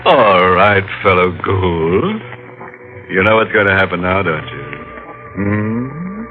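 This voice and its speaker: An actor playing a sly, ghoulish horror show host who teases the audience with a drawn-out, gloating delivery. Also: male voice, low voice, big pitch swings, narrow, tinny audio, soft background music, heavily acted. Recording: hissy old radio recording.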